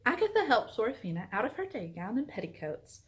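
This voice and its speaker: Animated woman book vlogger, lively pitch. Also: moderate pace. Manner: animated, expressive. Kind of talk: chatty vlog with expressive read-aloud book excerpts.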